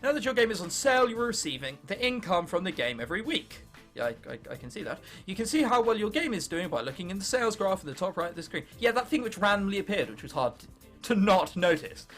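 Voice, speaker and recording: Young male gamer, English accent, animated swooping delivery, jokey and sweary, high voice, music behind. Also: fast. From England. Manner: jokey, sweary, self-interrupting. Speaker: gaming video host. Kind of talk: casual let's play commentary, reading game text aloud.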